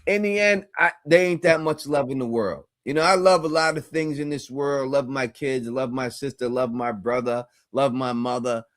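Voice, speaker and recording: A man's voice: mid-range voice, normal speed, hardly any pauses, fairly bright tone, dry recording, clean studio sound. Laid-back man rambling on a livestream. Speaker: co-host. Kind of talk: casual call-in show chat.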